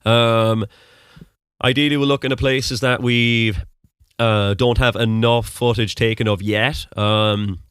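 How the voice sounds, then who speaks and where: A man's voice: medium pitch, steady tone, slow, fairly bright tone, clean tone, no room sound, studio quality. A young Irish man with a fairly neutral accent that some hear as American, answering questions in a rambling, casual way with many ums. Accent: Irish with American-sounding notes. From Ireland, Mullingar, Westmeath. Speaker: podcast host being interviewed. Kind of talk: casual conversational interview.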